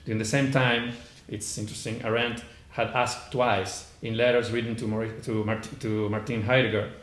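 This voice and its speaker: A man's voice: fairly low pitch, flat delivery, moderate pace, a bit bright. A man with a heavy Argentine accent presenting an academic paper at a conference, reading from notes with frequent "uh" fillers and false starts. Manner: hesitant, many "uh" fillers and restarts. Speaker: conference panelist. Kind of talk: academic lecture read from notes. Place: conference room. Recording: echoey room, faint background noise.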